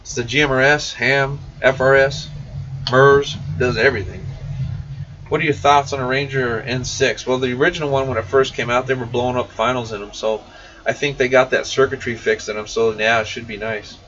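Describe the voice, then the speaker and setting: A man's voice: medium pitch, steady tone, fairly quick, fairly bright tone. A man hosting a casual shop livestream, reading and answering viewer comments in a folksy, informal way. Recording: muffled, distant mic with faint noise.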